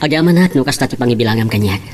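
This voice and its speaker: A man's voice: medium pitch, animated, rapid-fire, a little hiss or hum, natural voice. A man playing a humble, shy young working man in love in a radio drama, with an Ilocano Filipino accent. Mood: humble, grateful.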